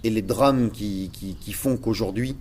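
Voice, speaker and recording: Mellow-voiced hesitant Frenchman. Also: lowish voice, measured pace, slight background noise. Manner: hesitant, repeats words while searching. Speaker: theatre company director. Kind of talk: reflective interview talk.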